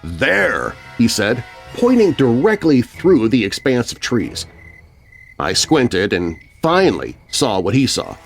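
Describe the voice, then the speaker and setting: A man's voice: mid-range voice, very animated, unhurried. A man narrating a scripted children's horror story. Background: music.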